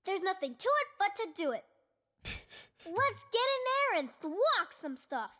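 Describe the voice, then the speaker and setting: A woman's voice: extremely high pitch, big pitch swings, measured pace, bright, forceful tone, exaggerated voice. A woman voicing a brave, playful little boy hero in a video game, childlike, exuberant and earnest. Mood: playful, excited. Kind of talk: video game character voice lines.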